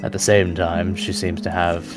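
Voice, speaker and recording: A man acts out a weary storm-wielding adventurer in a tabletop game, guarded and matter-of-fact. His voice is very low with a gravelly creak, over clear background music. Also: level tone, normal speed. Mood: weary, uncertain.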